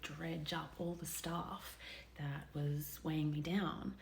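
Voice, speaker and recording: A woman's voice: low-pitched, vocal fry, a little mellow, a little hiss or hum. A woman in her late thirties talking candidly to camera in a personal vlog. She is confessional and often drops to a near whisper. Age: 30s. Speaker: vlogger.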